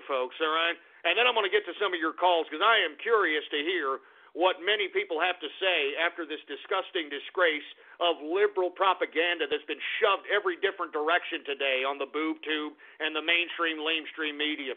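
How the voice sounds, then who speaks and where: Man, talk radio host, high voice, cursing, exasperated rant, sudden yells, thin phone-line sound. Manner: loud, profane, exasperated ranting with sudden shouted outbursts. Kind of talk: ranting internet talk radio, reading out viewer shout-outs. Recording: tinny phone-like lo-fi audio.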